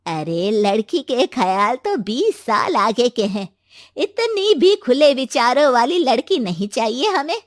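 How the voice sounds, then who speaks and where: A woman's voice: fairly high pitch, sing-song, measured pace, dry recording, clean studio sound. A woman playing a demanding, bossy middle-aged mother-in-law in a story, with a commanding, nagging manner. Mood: disapproving.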